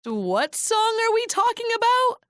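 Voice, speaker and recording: A woman's voice: very high-pitched, very animated, a little slow, fairly bright tone, no echo, studio quality, full character voice. An actress playing a blunt, suspicious young woman and protective friend in an audio drama.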